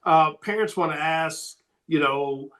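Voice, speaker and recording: A man answering as a sports recruiting coach on a podcast, emphatic and repeating his key points, his voice slightly hoarse. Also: a little high, takes it slowly, fairly bright tone.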